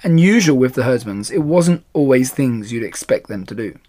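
A man reading a children's storybook aloud in an expressive style. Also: medium pitch, normal speed. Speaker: storybook reader.

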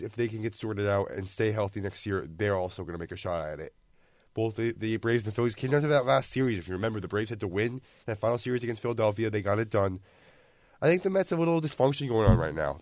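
Young male co-host, raspy voice, lowish pitch, measured sports talk, dry sound. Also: darkish tone, no echo.

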